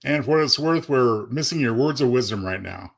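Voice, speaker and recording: A man's voice: mid-range voice, lively intonation, brisk, vocal fry. A man chatting casually as the co-host of a livestream. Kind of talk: casual chat.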